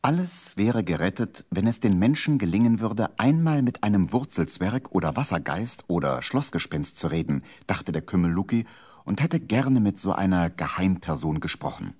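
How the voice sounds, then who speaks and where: A man's voice: sits low, big pitch swings, moderate pace, darkish tone, breathy edge. A man narrating a children's radio play as a scripted story.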